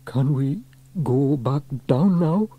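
A nervous male firefighter, played by a male narrator in a children's story. He sounds anxious and wobbly, groaning and wailing, with a husky edge and big leaps in pitch. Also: expressive, normal speed, dark tone. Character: nervous, gulping male firefighter afraid of heights.

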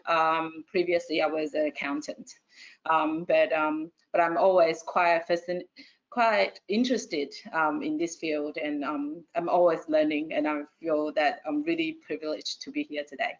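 Woman from Taiwan, low-pitched, soft-spoken, dull call audio. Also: steady tone, a little slow. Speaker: interpreter. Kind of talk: self-introduction on a video call.

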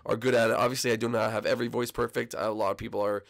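Hoarse, gravelly young man. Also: lowish voice, little pitch movement, racing, fairly bright tone. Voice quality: hoarse, froggy. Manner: rambling, many fillers. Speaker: gaming channel host. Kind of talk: casual video commentary.

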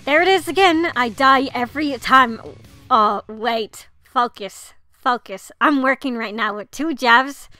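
A woman's voice: high-pitched, a little slow, sharp tone, soft background music. A woman voicing a smitten, earnest young male student reporter and butler in a visual novel, politely scolding himself. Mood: flustered, polite. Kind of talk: visual novel read-aloud.

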